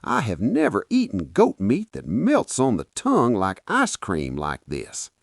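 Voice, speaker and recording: A man voicing an exuberant Nigerian male boss in a told folktale, loud and exclaiming. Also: fairly low pitch, wildly swooping pitch, a little slow, gravelly, no echo, clean studio sound, exaggerated voice. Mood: delighted.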